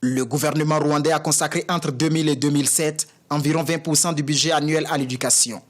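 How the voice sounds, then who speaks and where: A man reporting as a news correspondent, reading a scripted piece in a brisk, formal way. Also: on the high side, fairly even intonation, a little hiss or hum.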